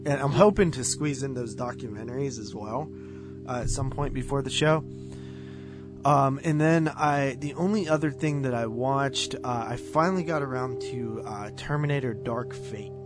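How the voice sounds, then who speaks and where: Male podcast co-host, mid-pitched, casual halting film chat, clear guitar music underneath. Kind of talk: casual movie review chat.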